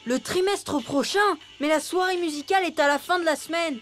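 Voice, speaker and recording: A woman's voice: very high-pitched, normal speed. A woman voicing an impatient young boy elephant in a cartoon, boastful but easily discouraged, whiny and emphatic. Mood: urgent, pleading. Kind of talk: French cartoon dubbing. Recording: music behind the voice.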